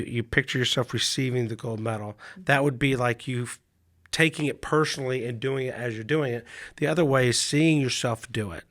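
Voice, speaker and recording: A male coach with a hoarse voice from a cold, telling stories in a relaxed chat with frequent restarts. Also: medium pitch, no room sound, studio quality. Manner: relaxed storytelling with many restarts. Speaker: mental management coach. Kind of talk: casual podcast chat.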